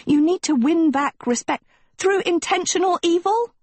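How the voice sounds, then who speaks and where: A woman's voice: very high-pitched, expressive, normal speed, no room sound, pitched above the performer's own voice. A woman voicing a dramatic, indignant and pleading teenage girl in a storybook, with theatrical emphasis. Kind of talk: acted storybook dialogue.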